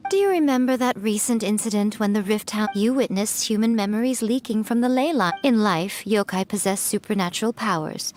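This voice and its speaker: An actress playing a sly, poised, teasing fox shrine maiden in a video game, playful and articulate. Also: female voice, mid-range voice, a little fast, hardly any pauses, a little mellow, a little hiss or hum, natural voice. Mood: explanatory.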